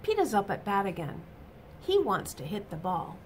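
A middle-aged woman reading a picture book aloud to children, expressive and deliberate, with emphatic exclamations, in a small room. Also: medium pitch, a little hiss or hum. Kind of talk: animated read-aloud storytelling for children. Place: small indoor office or classroom.

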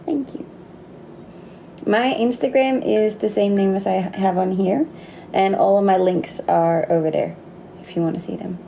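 A woman's voice: medium pitch, fairly even intonation, moderate pace, creaky, mellow, slight background noise. A Danish woman in her late twenties with a slight Australian accent, chatting casually on a livestream from her phone and laughing often. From Denmark. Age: late 20s. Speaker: livestream host. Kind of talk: casual livestream chat reading comments. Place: indoor room. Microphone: phone close to face.

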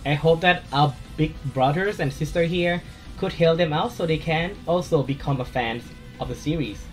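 Soft-spoken Thai-accented man, slightly high. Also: normal speed, faint music behind. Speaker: gaming channel host. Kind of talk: casual voice-over chat.

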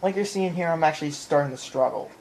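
A man giving casual let's play commentary in an excitable way, often repeating his phrases. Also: a little high, fast, slight background noise, poor audio. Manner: excitable, repeats phrases, mimics game sounds. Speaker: video game player and commentator. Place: indoor room at home, in front of a TV. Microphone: handheld camera or phone mic. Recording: handheld camera, game music in background.

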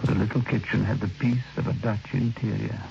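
A solemn, gravelly male narrator. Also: lowish voice, steady tone. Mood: reflective. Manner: measured, literary, solemn. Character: reflective man narrating his own haunted tale. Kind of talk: old radio drama narration. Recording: noisy, dull old radio recording.

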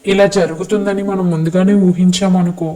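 A man's voice: sits high, level tone, brisk, dark tone, natural voice. A man playing a stern, protective young politician in custody in a web series drama, speaking firmly and urgently. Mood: worried, cautioning. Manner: firm, commanding, urgent.

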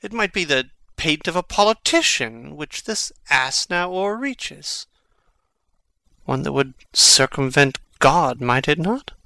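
A man's voice: on the high side, animated, slow, vocal fry, sharp tone, dry recording, heavily acted. A man playing a brooding, sardonic young prince in a Shakespeare audiobook, musing in a wry, theatrical way. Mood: wry, indignant. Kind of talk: dramatic audiobook reading of Shakespeare.

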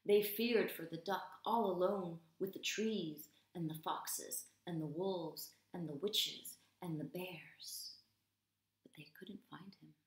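A woman in her thirties, an English teacher reading aloud to young children, dramatic and deliberate, with hushed stretches. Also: lowish voice. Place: small room at home, indoors. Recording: roomy indoor echo.

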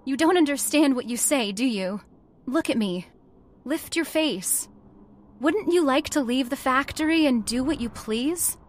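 A woman plays an earnest, commanding heiress in an old-time radio horror play. Her voice is high and swings widely in pitch, emphatic and theatrical. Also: expressive, measured pace. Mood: coaxing, pleading. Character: headstrong young factory heiress, earnest and commanding.

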